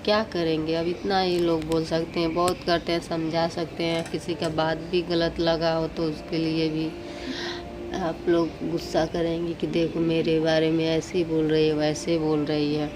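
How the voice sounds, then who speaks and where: A woman's voice: low-pitched, monotone, normal speed, over music. A woman vlogger, chatting casually and repetitively to viewers as friends. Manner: conversational, repeats phrases, addresses viewers as friends.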